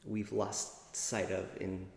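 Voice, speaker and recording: A man's voice: low-pitched, monotone, slow pace, creaky, darkish tone. A man, a composer, speaking in a thoughtful, hesitant way in a documentary interview. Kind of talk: documentary interview, reflective talk. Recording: echoey reverberant room.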